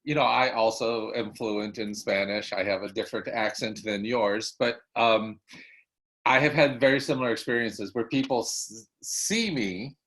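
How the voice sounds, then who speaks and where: Measured man moderating a talk. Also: on the low side, fairly bright tone, rough recording. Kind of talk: conversational interjection.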